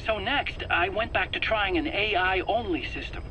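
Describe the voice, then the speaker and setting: A man's voice: sits high, normal speed, pushed and bright, poor audio. A man playing a defensive, rambling weapons scientist under interrogation in a video game, hesitant and prone to stammering as he explains. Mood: earnest explaining. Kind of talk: dramatic video game voice acting. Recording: faint background noise on cassette recording.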